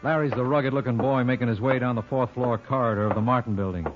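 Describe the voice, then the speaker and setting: An actor playing a wry, cliche-loving American lawyer who narrates radio mystery tales in a self-amused, folksy way. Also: male voice, mid-range voice, fairly even intonation, fast, over music, natural voice. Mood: wry, inviting. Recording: muffled old-time radio recording.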